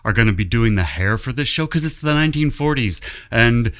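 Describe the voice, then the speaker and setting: A man's voice: medium pitch, lively intonation, brisk, fairly bright tone. A man speaking casually and conversationally as a guest in an interview. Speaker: theatre director, interview guest. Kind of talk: casual chat.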